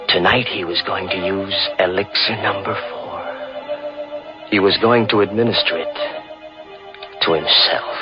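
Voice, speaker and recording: A man's voice: deep voice, natural voice. An actor playing a cold, scheming young man who narrates his own crime in 1940s radio style. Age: young adult. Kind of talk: 1940s radio acting, first-person narration. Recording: dull old radio broadcast with music.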